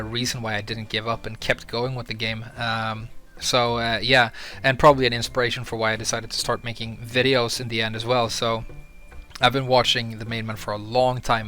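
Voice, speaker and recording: Young guy from sweden talking to camera, earnest, lots of ums, bright voice. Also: medium pitch. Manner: earnest and candid, hesitant with fillers. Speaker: YouTuber. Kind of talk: casual talk to camera.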